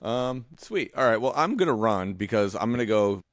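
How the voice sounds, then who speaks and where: Rambling low-voiced man in his forties. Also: normal speed, dry recording, clean studio sound. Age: 40s. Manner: rambling, self-interrupting, animated storytelling; casually profane. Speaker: podcast co-host; visual artist. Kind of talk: casual podcast chat.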